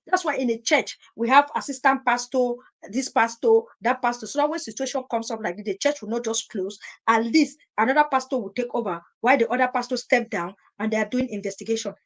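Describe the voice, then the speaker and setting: A woman's voice: a little high, fairly quick, hardly any pauses. A woman hosting a live stream, talking emphatically, repeating her points and firing rhetorical questions. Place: at home in Europe.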